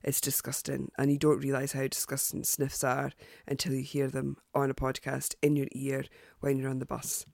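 A woman hosting a podcast, chatty and hesitant with lots of ums and restarts, sounding croaky and congested from a cold. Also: very deep voice, monotone, moderate pace, a little mellow, dry recording, studio quality. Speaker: podcast host. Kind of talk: casual podcast sign-off.

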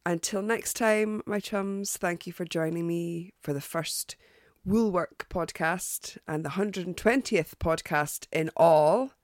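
A woman hosting a podcast, chatty and hesitant with lots of ums and restarts, sounding croaky and congested from a cold. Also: on the low side, slow, dry recording, clean studio sound. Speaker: podcast host. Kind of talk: casual podcast sign-off.

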